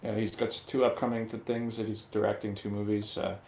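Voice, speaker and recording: In an echoey, slightly noisy room, a man chats casually as a panelist. His voice sits fairly low and has a lot of creak in it. The talk is loose, conversational film review. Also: flat delivery, fairly quick, a little mellow. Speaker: show panelist. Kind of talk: casual movie review chat.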